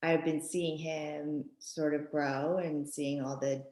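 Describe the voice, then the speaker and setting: A middle-aged woman from Japan, talking thoughtfully in an interview with frequent pauses and ums. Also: deep voice, little pitch movement, a little mellow, a little hiss or hum. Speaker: guest author and mother. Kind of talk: conversational interview. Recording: echoey room.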